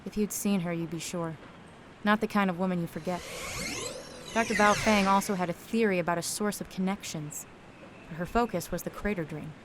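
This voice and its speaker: An actress playing a hard-drinking, world-weary private eye in a noir audio drama, terse and wry. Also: female voice, lowish voice, brisk, noisy background, natural voice. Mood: wry, guarded.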